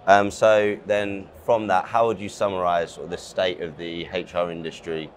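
Young interviewer with a very deep voice, hesitant, rephrasing questions, faint background noise. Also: male voice, little pitch movement, normal speed. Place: small studio with branded backdrop.

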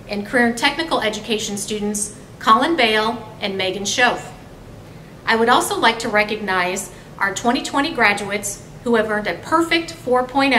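Bright-voiced American woman announcing formally. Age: middle-aged. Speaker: college award presenter. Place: indoor room at a podium. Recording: echoey room with faint rumble.

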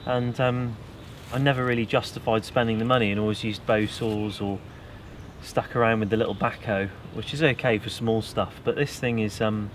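A young British man chatting casually about outdoor gear in a woodland, keen and relaxed. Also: fairly low pitch, normal speed. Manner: relaxed, enthusiastic gear talk. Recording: outdoor camera audio, clear background noise.

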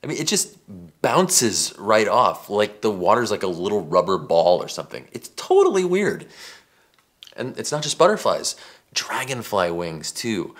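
Animated American man explaining science, lowish voice. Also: measured pace. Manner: animated, casual, emphatic. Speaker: science show host. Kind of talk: conversational science explainer to camera. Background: background music.

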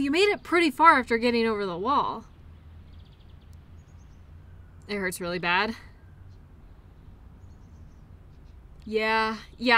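A caring, playful girlfriend, acted by a woman for an intimate roleplay audio. Her voice is fairly high and clearly bright. She speaks in a breezy, affectionate way, as if chatting one-on-one with her partner. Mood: concerned, tender. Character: tired, playful, caring girlfriend at a mud race.

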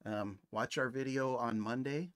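A middle-aged man talks as a livestream host. His voice sits at an ordinary pitch, and his delivery is casual and conversational, like chat with regular viewers. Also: measured pace, fairly bright tone, clean tone. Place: indoor room with decorated wall.

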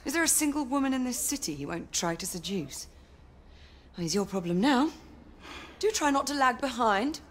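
A woman's voice: fairly high pitch, big pitch swings, moderate pace. An actress playing a self-assured, sardonic young British woman knight in a video game, with a British accent. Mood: teasing. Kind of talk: video game cutscene acting. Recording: hall-like reverb, faint noise and ambience.

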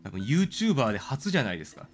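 A man's voice: medium pitch, very animated, quick pace, faint music behind. A man doing casual game commentary, playfully chatting and thinking aloud as he streams.

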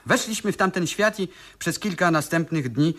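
An actor playing an earnest smuggler who tells of a wondrous future world in a 1970s radio play. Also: male voice, high-pitched, normal speed, bright, forceful tone. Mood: wondering. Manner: earnest, wondering. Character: amazed male smuggler, witness of a future world.

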